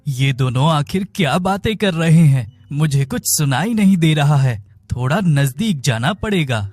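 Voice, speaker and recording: Guy doing a dramatic young husband in a cartoon story, earnest, a bit high pitched, music behind. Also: expressive, moderate pace, a little mellow. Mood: suspicious. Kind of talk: animated moral story dialogue.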